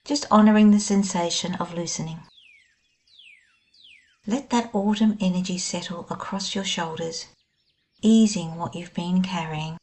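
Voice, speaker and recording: A woman guiding a scripted meditation in a gentle, soothing, unhurried manner. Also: fairly low pitch, fairly even intonation. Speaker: meditation guide. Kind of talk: scripted guided meditation narration.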